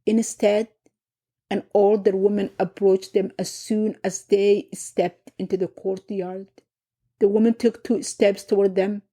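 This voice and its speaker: A middle-aged woman from Somalia, narrating her own family memoir in a reflective, unhurried way. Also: medium pitch, level tone, dark tone. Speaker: podcast host and narrator. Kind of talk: personal memoir storytelling.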